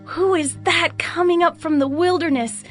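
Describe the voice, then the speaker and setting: A woman's voice: very high voice, fairly even intonation, moderate pace. A woman playing an awed, admiring young onlooker in a dramatised scripture reading. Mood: awed, wondering. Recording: music bed behind the voice.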